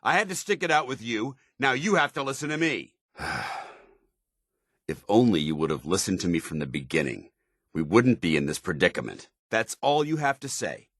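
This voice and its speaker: Video game military paladin: very low, animated delivery, honorable, stern, authoritative, clipped military diction. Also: male voice, normal speed, no echo, clean studio sound. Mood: exasperated, scolding. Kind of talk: video game companion dialogue.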